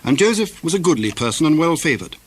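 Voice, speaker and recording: A man narrating scripture from a script in a measured, formal style. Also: a little high, big pitch swings, breathy edge. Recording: older recording with faint noise.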